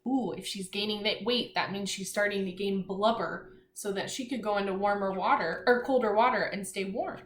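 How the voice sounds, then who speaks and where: A woman teacher reading aloud to young children, pausing to explain simply, ask questions and encourage her listeners. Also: mid-range voice. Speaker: elementary school teacher. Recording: echoey room, faint background noise.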